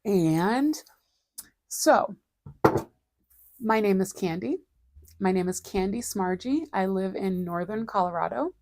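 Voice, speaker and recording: Gravelly-voiced woman chatting casually. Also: medium pitch, expressive, slow pace, pauses often, a little mellow, no room sound. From Boston area, USA. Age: 40s. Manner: chatty and rambling, self-deprecating, laughs often. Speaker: livestream host and artist. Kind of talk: casual chat. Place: home studio.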